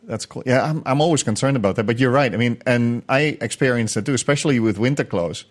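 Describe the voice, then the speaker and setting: A man's voice: medium pitch, quick pace. A man hosting an interview, asking long, chatty, rambling questions. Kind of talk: casual interview.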